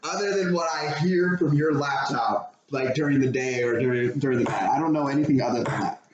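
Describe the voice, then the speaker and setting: A man's voice: mid-range voice, lo-fi sound. A man with an American accent and a mid-range voice talks loosely and swears freely, like a co-host swapping stories. The room is echoey, with some noise behind him. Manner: rambling, profane, quick to laugh. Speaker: podcast co-host telling stories. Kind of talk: casual chat, anecdotes. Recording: roomy echo with clear background noise.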